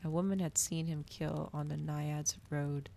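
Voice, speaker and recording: A woman reading a story aloud in a soft, breathy ASMR style, with dramatic storytelling delivery, close to the microphone. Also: deep voice, flat delivery, measured pace, a little hiss or hum. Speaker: streamer reading a novel aloud.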